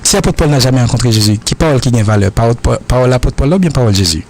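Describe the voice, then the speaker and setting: A man's voice: mid-range voice, expressive, darkish tone, slight background noise. Earnest Haitian man answering questions. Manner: earnest, repetitive, halting phrasing.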